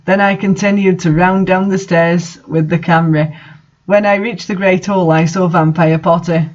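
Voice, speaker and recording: A woman's voice: fairly low pitch, steady tone, moderate pace. A woman giving a dramatic, mocking amateur reading of fan fiction, with her own commentary. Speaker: reader. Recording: dull lo-fi sound.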